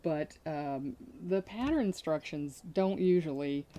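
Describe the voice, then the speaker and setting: Woman in her sixties, low voice, cheerful, laughs easily, uh and um fillers, casual sewing demo. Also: lively intonation, a little slow, over a noisy crowd. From Connecticut, USA. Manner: cheerful, laughs often, fills with uh and um. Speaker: guest sewing expert. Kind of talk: casual chat and sewing demonstration.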